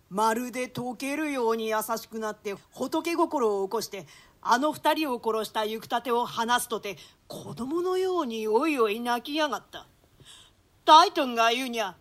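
Woman playing high-voiced rough, conscience-stricken hired killer. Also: fairly bright tone. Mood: shaken, appalled. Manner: coarse colloquial speech, swinging into theatrical quoted voices. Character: rough male hired killer, shaken by pity and horror. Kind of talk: Shakespeare monologue in comic rakugo-like storytelling style.

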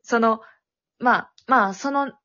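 A woman's voice: a little high, slow, sharp tone. A young adult woman chatting casually and politely, often searching for words. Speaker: amateur voice actor, guest. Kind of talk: casual chat. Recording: dull lo-fi audio.

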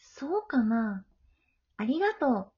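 A woman playing a gentle, studious middle-school girl in an amateur voice drama, friendly and polite. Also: fairly high pitch, big pitch swings, slow, husky, dull audio, full character voice. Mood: friendly, kind.

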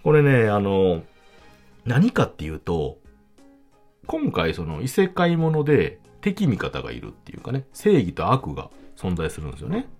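A man with a Kansai accent hosting a casual solo talk podcast, chatting in an animated, emphatic way. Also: on the low side, moderate pace. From Kansai, Japan. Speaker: podcast host. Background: background music.

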